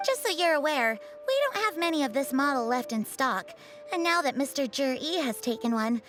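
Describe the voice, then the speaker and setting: A woman's voice: high-pitched, lively intonation, fairly quick, fairly bright tone, faint music behind. A woman playing an antiques shop owner in a video game, speaking in polite sales talk.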